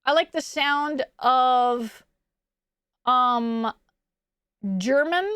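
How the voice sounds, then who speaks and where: A young woman with a high voice, chatting casually with her stream chat in a rambling way, stumbling over her words and laughing often. She is close to the mic and her voice sounds dry, with no room around it. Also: very slow, fairly bright tone, clean tone, dry recording.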